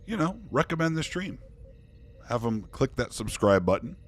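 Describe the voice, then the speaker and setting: A man's voice: medium pitch, very animated, moderate pace. A man chatting casually on a live poker stream, thanking viewers and joking in character as a starship commander. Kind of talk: casual live-stream chat while playing online poker.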